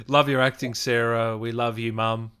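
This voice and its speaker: Male interview host, Australian accent, medium pitch, casual and laid-back, friendly. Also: fairly bright tone.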